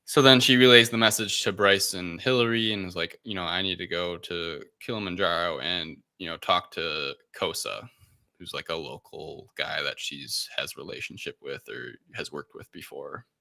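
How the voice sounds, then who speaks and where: A man podcast host casually recapping a story, halting as he searches for words. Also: deep voice, gravelly, pushed and bright. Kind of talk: casual spoken movie plot recap.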